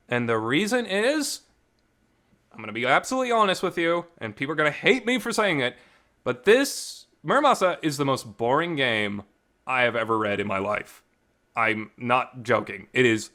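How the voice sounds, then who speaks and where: A man's voice: mid-range voice, bright, forceful tone. A man giving casual, opinionated commentary in a rambling, emphatic way, repeating words for stress, with some reverb on the recording. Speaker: visual novel reviewer. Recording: reverberant room sound.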